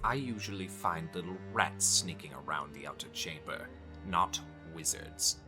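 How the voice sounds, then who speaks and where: An actor playing a menacing, zealous high cleric in a dark fantasy drama, gravelly and coldly formal, speaking slowly and deliberately. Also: male voice, close to an everyday voice. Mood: cold menace. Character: menacing zealous male high cleric devoted to his goddess. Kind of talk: dark visual novel audio drama acting. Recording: music under the voice. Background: music.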